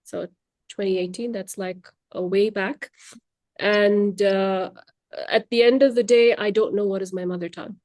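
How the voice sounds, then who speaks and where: A woman's voice: medium pitch, steady tone, normal speed, darkish tone, no room sound. Young woman, tamil native, chatting about teaching, lots of ums, mid voice, dry close sound. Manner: reflective, chatty, with many fillers and restarts. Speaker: language trainer, guest. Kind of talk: casual interview. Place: Luxembourg.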